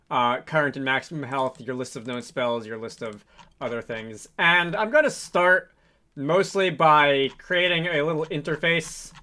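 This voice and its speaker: A man with a fairly high, bright and crisp-edged voice talks through a programming tutorial. He speaks casually and thinks aloud, pausing and hesitating as he works things out. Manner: halting, improvised delivery with frequent hesitations. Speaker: tutorial host, game developer.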